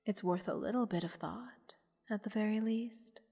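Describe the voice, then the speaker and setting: Woman doing a playful condescending goddess for fantasy audio roleplay, soft husky voice, big long reverb, music behind. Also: mid-range voice. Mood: impressed, sly. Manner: teasing, condescending, theatrical, measured. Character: haughty, playful, amused goddess holding a mortal captive. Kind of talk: fantasy audio roleplay, intimate scripted monologue. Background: orchestral music.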